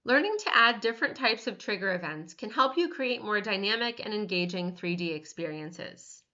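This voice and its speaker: A woman reading scripted step-by-step instructions as a tutorial narrator, measured and clearly enunciated. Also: medium pitch, expressive, sharp tone, lo-fi sound.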